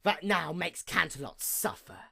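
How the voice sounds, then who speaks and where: A performer acting out a bitter pony goddess of the night, female and vengeful. The delivery of this villain monologue is grandiose and formal, with a mid-pitched voice that sweeps widely between fierce outbursts and hushed menace. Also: big pitch swings, measured pace, vocal fry, slightly rough, full character voice. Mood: cold, gloating. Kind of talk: dramatic reading, theatrical villain monologue.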